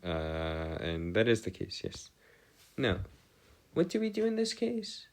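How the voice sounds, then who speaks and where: A man giving a step-by-step math lesson as a tutor, halting and pausing to check himself, with formal, bookish wording. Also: low-pitched, huge pitch swings, creaky, a little mellow. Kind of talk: lesson, working through equations step by step.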